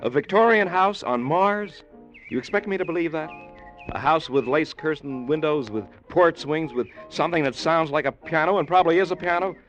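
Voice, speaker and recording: An actor playing a skeptical, commanding spaceship captain in an old-time radio drama, with an incredulous, authoritative manner. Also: male voice, on the high side, moderate pace, fairly bright tone, dull audio, faint music behind, lightly acted.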